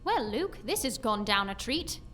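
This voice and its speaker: A woman's voice: on the high side, very animated, normal speed, fairly bright tone, faint music behind. An actress playing a haughty, prissy rich young woman with a prim, sarcastic manner in an audio drama.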